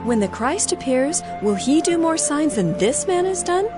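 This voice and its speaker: A woman's voice: sits high, sing-song, moderate pace. An actress playing a hopeful, wondering woman believer in a dramatized audio Bible. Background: music.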